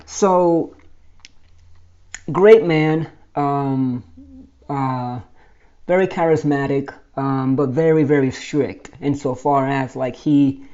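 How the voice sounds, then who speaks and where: A man's voice: medium pitch, steady tone, slow, mellow, clean tone, dull audio. A man in his forties from Brooklyn, New York, casually telling his life story with many fillers and restarts. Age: 40s.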